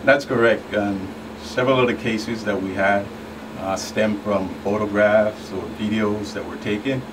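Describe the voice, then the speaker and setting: Middle-aged male agent, explanatory interview guest, low pitch, clear hum behind him. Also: fairly even intonation, measured pace. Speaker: computer forensic agent guest. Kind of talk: explanatory radio interview answers. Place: small radio studio. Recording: distant mic, clear room hum.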